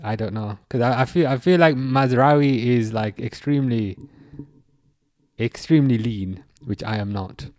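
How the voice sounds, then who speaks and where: A young English man hosts a football livestream from home, reading out viewer messages and rambling with plenty of false starts. His voice sits at a middle pitch. It comes through dry and close on the mic. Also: unhurried, a little mellow, dry recording. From England. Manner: rambling, repeats words and restarts, reads chat aloud. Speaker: livestream host. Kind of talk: casual football livestream chat.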